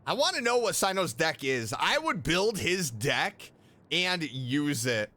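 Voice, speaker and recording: A man hosting a casual reaction video as a game fan, talking in an enthusiastic, expressive way. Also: on the high side, a little slow. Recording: music behind.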